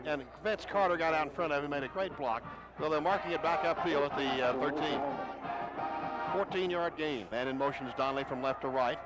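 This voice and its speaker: A man calling a football game play by play for radio, rising in pitch and volume on big plays. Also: a little fast, loud music behind the voice. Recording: noisy stadium broadcast.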